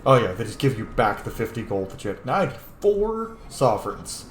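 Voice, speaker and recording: A man streams a video game with casual, playful commentary, often talking back to it. His pitch moves a lot, and the room adds noticeable echo over faint background noise. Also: sing-song, normal speed.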